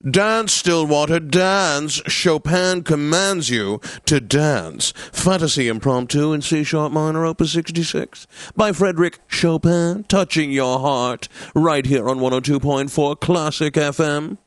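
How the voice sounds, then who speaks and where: An actor playing a pompous, snobbish classical radio DJ in a video game, theatrical and jovial, with flowery diction. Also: male voice, on the high side, unhurried, few pauses, no room sound, lightly acted. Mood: playfully grand.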